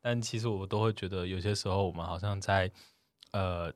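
A man's voice: deep voice, steady tone, brisk, dry recording. A young man co-hosting a casual podcast, playful and teasing, often repeating his phrases. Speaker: podcast co-host. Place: podcast recording studio. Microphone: close studio microphone.

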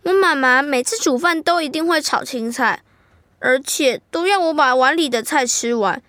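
A woman's voice: sits high, moderate pace, fairly bright tone, dry recording, studio quality. A woman playing an enthusiastic, curious, food-loving child in an educational radio drama. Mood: curious, chatty.

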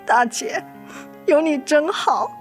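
An actress playing a heartbroken, self-sacrificing younger sister in a radio drama, plaintive and emotional. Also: female voice, sits high, animated, slow pace. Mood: grateful, tearful. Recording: music under the voice.

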